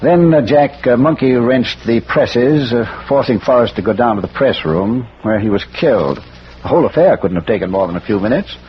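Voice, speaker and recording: A noisy, muffled vintage radio recording carries a man acting a bookish gentleman detective. He speaks at a medium pitch, courteous and hesitant, probing politely like a learned amateur sleuth in a 1940s mystery. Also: lively intonation, moderate pace, lightly acted. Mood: explaining. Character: erudite, courteous amateur sleuth who quotes Shakespeare.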